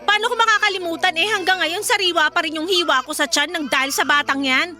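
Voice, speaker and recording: High-pitched, bitter young Filipino mother. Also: fast talker, sharp tone, faint music behind. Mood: bitter disgust. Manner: curt, sarcastic, confrontational. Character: bitter, resentful young mother rejecting her baby and partner. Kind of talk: Filipino radio drama acting.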